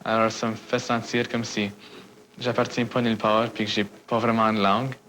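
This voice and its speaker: Male from Manitoba, extremely flat delivery, faint noise. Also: fairly low pitch, moderate pace. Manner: monotone, candid. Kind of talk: interview clip.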